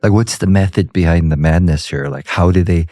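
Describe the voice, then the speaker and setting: A man with a very deep voice co-hosts a podcast, talking casually and curiously with easygoing slang. The sound is dry and close-miked. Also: fairly quick, dark tone, no echo. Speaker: podcast co-host. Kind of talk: casual interview chat.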